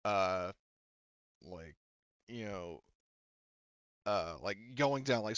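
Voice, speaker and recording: A man running a tabletop game as game master, narrating casually in a halting, rambling way full of filler phrases. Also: lowish voice, bright, forceful tone, smooth, no room sound, highs cut off. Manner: halting, rambling, frequent "like" and "you know"; casual swearing.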